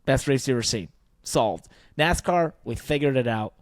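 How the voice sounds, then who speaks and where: A man's voice: mid-range voice, expressive, normal speed, no room sound. Casual, joking male podcast host, dry close sound. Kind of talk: casual motorsports banter.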